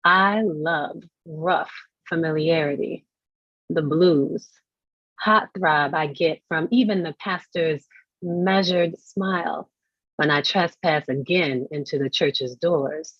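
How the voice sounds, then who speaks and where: Female poetry reader with a deep, unhurried delivery and lingering phrases; dull recording. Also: dry recording. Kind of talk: slow poetry reading. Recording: dry but dull, lo-fi audio.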